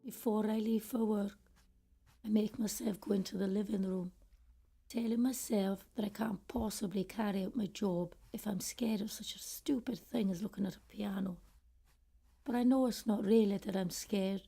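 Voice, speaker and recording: A woman's voice: medium pitch, steady tone, normal speed, vocal fry, mellow, breathy edge. A woman acting a wistful, regretful middle-aged British woman in a confiding radio play monologue. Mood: wry, reflective. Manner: reflective, confiding storytelling. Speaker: actor in a student radio play. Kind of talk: first-person radio drama monologue.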